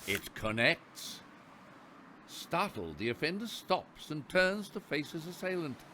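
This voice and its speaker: A man narrating a radio play in a wry, theatrical storyteller's manner with deliberate emphasis. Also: on the high side, very animated, bright, forceful tone, slight background noise.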